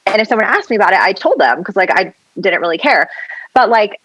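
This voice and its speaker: Raspy-voiced young woman, candid chat. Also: medium pitch, lively intonation, fast talker, a bit bright, no echo, rough recording. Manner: candid, chatty, heavy on filler words. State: hoarse from vocal cord injury. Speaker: podcast guest. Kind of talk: casual interview chat.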